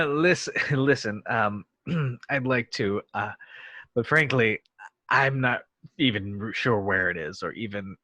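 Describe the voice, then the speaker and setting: A man's voice: mid-range voice, slow pace, sharp tone, no room sound. A man acting a cocky, flustered starship captain in a sci-fi script read, blustering and bossy. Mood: awkward bluffing. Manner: hesitant stammering bluster, throat-clearing, barked orders. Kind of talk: screenplay table read acting.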